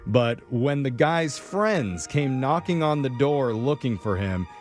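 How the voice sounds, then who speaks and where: Male radio host, mid-pitched voice, conversational setup narration over a music bed. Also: lively intonation, takes it slowly.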